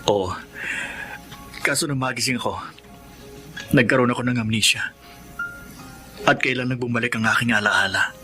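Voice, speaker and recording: Actor as earnest soldier, bright tense voice. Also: male voice, medium pitch, faint music behind, natural voice. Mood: earnest, relieved. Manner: earnest, halting, pleading. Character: wounded, earnest soldier longing for his family. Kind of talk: Filipino radio drama acting.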